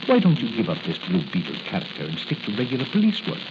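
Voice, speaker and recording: Casting: kindly fretting older doctor, musing and hesitant, very animated pitch; old radio drama under heavy noise. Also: male voice, fast. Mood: anxious, fatherly. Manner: hesitant, musing, repeats himself fondly. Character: kindly, fussy older doctor-inventor, fatherly and anxious. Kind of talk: 1940s radio acting. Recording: very noisy, dull 1940s radio recording.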